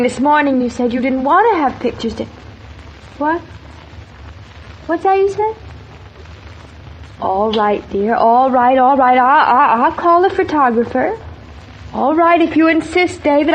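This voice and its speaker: An actress playing a sweet, indulgent young wife in 1940s radio drama, affectionate and yielding. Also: female voice, high-pitched. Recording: dull, noisy old radio transcription.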